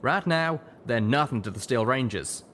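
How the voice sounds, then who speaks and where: A man's voice: medium pitch, animated, moderate pace, fairly bright tone, slight background noise, voice pushed up, lightly acted. A man voicing a plain-spoken, loyal cowboy pegasus stallion in an audio drama. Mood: cautioning.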